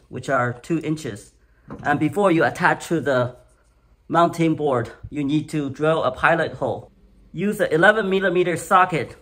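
A man slowly and methodically explaining a garage hoist install, step by step. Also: a little high, fairly bright tone, slight background noise. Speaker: DIY instructor. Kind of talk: step-by-step how-to narration. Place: home garage.

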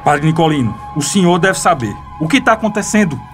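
Agitated, quick-talking Brazilian man. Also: a little high, sing-song. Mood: agitated, suspicious. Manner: urgent, blunt. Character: agitated, impatient man demanding answers. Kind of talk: Brazilian radio soap opera acting. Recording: clear music under the voice.

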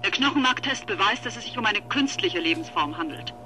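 An actress playing an efficient, formal police lab assistant in a German radio drama, sounding brisk and official. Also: female voice, medium pitch, expressive, pushed and bright, slight background noise. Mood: businesslike.

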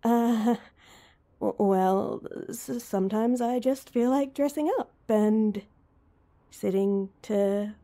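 Woman acting soft, dark-voiced heartbroken young woman. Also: fairly high pitch, slow. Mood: flustered, awkward. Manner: hesitant, sighing, self-deprecating. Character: heartbroken, awkward young woman stood up on anniversary date. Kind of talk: intimate roleplay audio drama.

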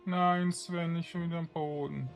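A man's voice: on the high side, steady tone, moderate pace, gravelly, faint music behind. A man giving casual let's-play commentary, grumbling in exasperation and muttering to himself as he plays. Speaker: video game streamer.

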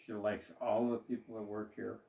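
Older man, gravelly, roomy office. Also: on the low side, level tone, moderate pace, mellow. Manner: plain, rambling, with fillers and restarts. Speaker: business owner. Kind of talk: speaking to camera about his business. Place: small office, indoors. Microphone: far camera. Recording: roomy echo, voice from a distance.

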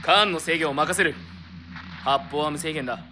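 An actor playing a calm, commanding male squad leader in a voice drama, measured and authoritative with a dry wit. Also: a little high, background noise, close to an everyday voice. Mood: composed. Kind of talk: voice drama acting. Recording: loud music underneath.

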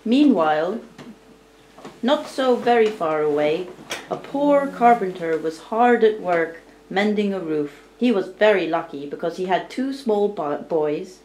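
A woman tells a storybook tale at an unhurried pace. Her delivery is deliberate and theatrical, at a middling pitch. The recording is roomy and a little distant. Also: animated, a little mellow, slight background noise. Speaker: storyteller narrating a puppet show. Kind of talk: live storybook narration.

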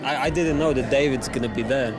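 A young man from Latvia with a Latvian accent, talking in a hesitant, awkward deadpan as an amateur comedian. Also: mid-range voice, fast. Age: young adult. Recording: loud music and crowd noise behind.

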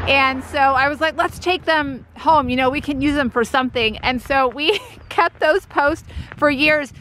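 A woman's voice: high-pitched, pushed and bright, a little hiss or hum, poor audio. Chatty woman vlogging outdoors on a farm. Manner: upbeat, chatty storytelling. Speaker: homesteading vlogger. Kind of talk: casual vlog narration. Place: outdoors at a rural farm stand. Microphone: phone held at arm's length.

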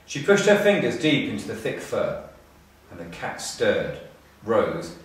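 Heard from some distance in a very reverberant room, a middle-aged man reads a storybook aloud. His voice sits a little low. He phrases the scripted text expressively, with measured pauses. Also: huge pitch swings. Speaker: storybook reader, school staff. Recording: echoey room, distant mic.

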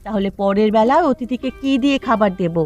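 A woman's voice: a little high, fast talker, darkish tone, muffled recording, faint music behind, lightly acted. A woman voicing a dutiful, considerate young village daughter-in-law in a Bengali cartoon. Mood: earnest.

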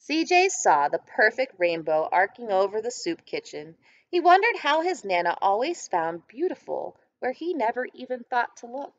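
A young woman giving an expressive read-aloud of a children's picture book. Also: fairly high pitch, normal speed, muffled recording. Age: young adult. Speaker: storybook reader. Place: library room with bookshelves, indoors.

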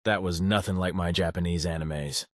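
Low-voiced cynical teenage boy, played by a man. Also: fairly even intonation, normal speed, no room sound, studio quality, close to an everyday voice. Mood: anxious, sardonic. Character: cynical, anxious, foul-mouthed male high school student. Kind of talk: first-person visual novel narration and dialogue.

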